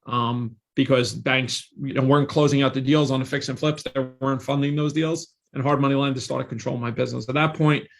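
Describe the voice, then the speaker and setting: A man's voice: mid-range voice, fairly even intonation, fairly quick, creaky, few pauses. A man in his forties, a guest on a podcast, telling his career story in a confident, salesy way with emphatic repetition. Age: 40s. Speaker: real estate investor and guest.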